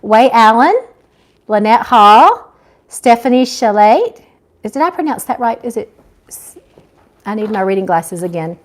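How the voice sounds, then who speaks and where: A woman's voice: on the high side, very animated, unhurried, pauses often. A woman hosting a casual live chat as an author, effusive and grateful toward her viewers. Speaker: author and live-stream host.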